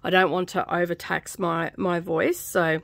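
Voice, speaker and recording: Casual craft host: middle-aged woman, scratchy fry-laden voice, a bit tired and dry-throated, recorded without room echo. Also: lowish voice, level tone, measured pace, a bit bright. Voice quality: slightly croaky, scratchy voice. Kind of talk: casual stitch-along chat. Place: small indoor craft room.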